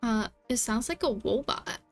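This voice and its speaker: A woman's voice: a little high, lively intonation, moderate pace, music in the background. A woman streamer reacting casually to a video game in an exclamatory, playful way. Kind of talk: casual live gameplay reaction.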